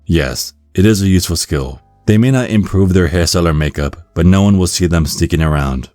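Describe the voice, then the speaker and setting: Very deep-voiced man narrating ASMR over music. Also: fairly quick, darkish tone, no room sound. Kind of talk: ASMR scripted narration, reading a guide with dry asides.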